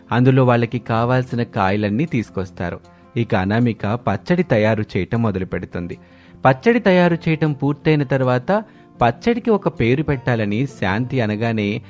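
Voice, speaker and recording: A man's voice: mid-range voice, darkish tone. A man working as narrator, reading a scripted story in the third person.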